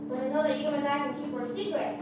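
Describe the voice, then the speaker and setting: A woman's voice: high voice, fairly even intonation, quick pace, very noisy, close to an everyday voice. A woman acting an earnest, searching young human circus star in a stage play, eager to belong. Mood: hesitant, confiding. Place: theater stage. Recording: very echoey theater, distant mic, music behind.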